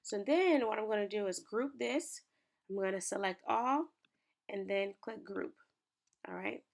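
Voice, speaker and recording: Woman, raspy morning voice, gravelly, chatty upbeat tutorial walkthrough. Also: medium pitch, measured pace. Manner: chatty, upbeat, addresses viewers directly. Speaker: small business owner and lifestyle creator. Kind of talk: screen-share tutorial walkthrough.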